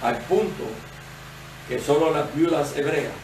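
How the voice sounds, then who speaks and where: A man with a Puerto Rican accent preaching a sermon as associate pastor, in a measured, explanatory way. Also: on the high side. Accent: Puerto Rican Spanish. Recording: roomy, distant church recording with faint noise.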